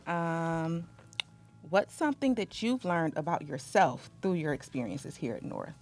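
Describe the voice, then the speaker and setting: A middle-aged woman, an assistant principal, casually interviewing a high school student. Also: lively intonation, a little slow, darkish tone, faint music behind.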